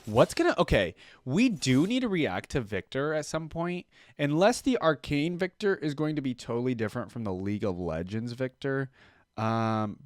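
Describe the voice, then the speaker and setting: A man's voice: mid-range voice, dry recording, clean studio sound. A man giving casual reaction commentary, musing and thinking aloud as he goes. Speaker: reaction video creator.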